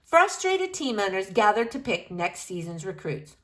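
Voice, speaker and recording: A woman reading a children's book aloud in an expressive, emphatic way, drawing out key words for young listeners. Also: mid-range voice, normal speed.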